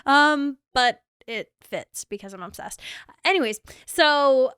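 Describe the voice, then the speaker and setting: Young woman, high voice, smooth and clear, chatty podcast host, gushing. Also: animated, slow pace, bright, forceful tone, no echo, studio quality. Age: 20s. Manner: gushing, chatty, heavy use of "like". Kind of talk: casual solo podcast chat.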